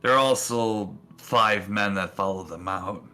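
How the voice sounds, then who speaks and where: A man plays a crude tavern bartender in a tabletop fantasy game. The voice is low and bright, halting and grudging as it passes on gossip. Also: clear, resonant tone, faint music behind. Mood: gossiping, scornful. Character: gruff, gossiping, foul-mouthed tavern bartender.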